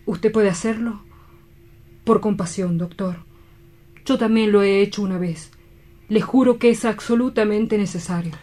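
A woman playing a grief-stricken, guilt-ridden doctor in a radio drama, solemn, halting and imploring. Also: medium pitch, mellow, close to an everyday voice. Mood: pleading.

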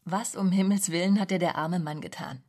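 Young female journalist played by an actress, radio drama, lowish voice, sharp, skeptical, self-assured. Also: fairly even intonation, brisk, natural voice. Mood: challenging. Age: young adult. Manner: cool, self-assured, challenging. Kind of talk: German radio drama acting.

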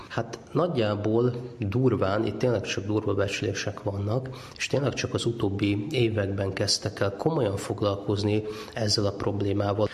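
A man giving explanatory answers in a radio interview as an expert, posing questions and answering them himself. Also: on the low side. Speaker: ecologist and university lecturer, interviewee.

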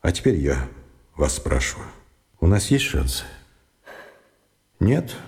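A man's voice: rumbling low, sing-song, takes it slowly, a little mellow, full character voice. An actor playing an educated, ashamed man who confesses earnestly and insistently in a radio drama. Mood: resigned. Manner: earnest, insistent, repeating himself. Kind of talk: Russian radio drama acting.

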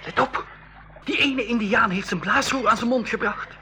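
An actor playing a curious, cheeky, wary young nephew in a school radio play. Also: male voice, very high voice, normal speed. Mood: alarmed. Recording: 1980s radio play with loud noise.

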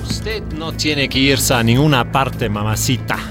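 An actor playing a sleazy, smug gringo bar owner with an English-speaking accent and an oily, suggestive manner in a radio drama. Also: male voice, mid-range voice, unhurried, music in the background, lightly acted. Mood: oily, persuasive.

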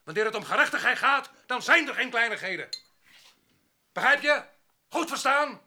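Sharp, hot-headed farm labourer. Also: male voice, extremely high pitch, animated, normal speed, heavily acted. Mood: indignant. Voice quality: sharp, forceful. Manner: blustering, sarcastic. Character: hot-headed, quarrelsome male farm labourer demanding justice. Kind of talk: radio drama acting.

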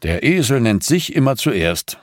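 A man voicing a sullen, mocking tough man with a growling, deadpan manner in an audiobook. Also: mid-range voice, a little slow, no room sound, clean studio sound, pitched above the performer's own voice. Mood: pedantic.

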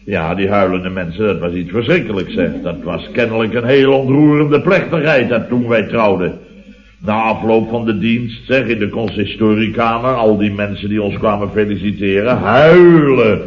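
An actor playing a weary, long-winded company boss who blusters and rambles in a radio comedy. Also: male voice, lowish voice, moderate pace, close to an everyday voice. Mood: indignant, amused. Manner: blustering, rambling, tagging phrases with "hè". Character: sleepy, grumbling, long-winded married male company boss. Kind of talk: 1970s radio comedy acting. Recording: dull old radio recording, studio audience.

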